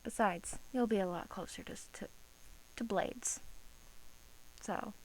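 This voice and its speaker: A woman playing a bored, teasing vampire guard in a soft-spoken ASMR roleplay, coaxing in hesitant, drawn-out phrases with an undertone of menace. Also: medium pitch. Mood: reassuring, firm. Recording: faint background hiss.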